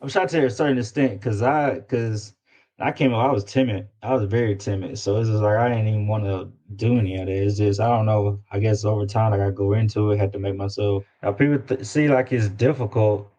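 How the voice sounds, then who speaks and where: A man's voice: on the low side, steady tone, brisk, mellow, low-quality recording. A man chatting casually as an interview guest, informal and often repeating words as he searches for phrasing. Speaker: guest, independent rapper. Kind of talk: casual chat.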